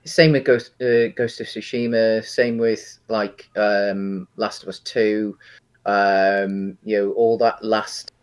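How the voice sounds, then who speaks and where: A man's voice: fairly low pitch, flat delivery, slow, clean tone. A British man co-hosting a podcast, chatting casually and with light-hearted banter about games and films. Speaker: podcast co-host.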